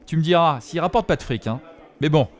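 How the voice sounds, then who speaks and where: Man as grumbling higher-voiced bar regular. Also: fast, lightly acted. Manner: slangy, rambling. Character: grumbling, opinionated male bar regular, slangy.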